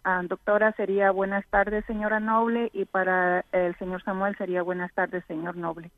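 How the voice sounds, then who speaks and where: A woman's voice: medium pitch, flat delivery, moderate pace, dry recording. Polite woman caller on a phone line. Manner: polite, formal greetings. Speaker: radio caller.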